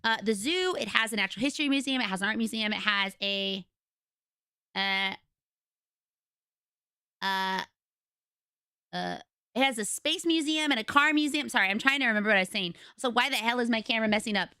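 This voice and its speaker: A young woman streamer with a raspy voice, chatting casually and rambling to her viewers from home. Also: a little high, a little fast, long pauses, bright, forceful tone, no echo, clean studio sound. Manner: chatty, rambling, retells conversations.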